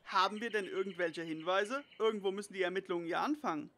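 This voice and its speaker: An actor playing a brainy teenage boy detective in a parody radio play, with an excitable, wordy, cheeky manner. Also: mid-range voice, fast talker, natural voice. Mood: matter-of-fact. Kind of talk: parody detective audio drama.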